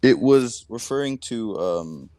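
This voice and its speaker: Hesitant, halting man with a deep voice. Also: expressive, background noise. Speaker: content creator answering accusations.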